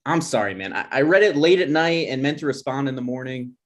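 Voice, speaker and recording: A man's voice: medium pitch, lively intonation, a little fast, natural voice. A man playing an insecure, defensive boyfriend in his twenties in a comedy script table read. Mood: earnest. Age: young adult.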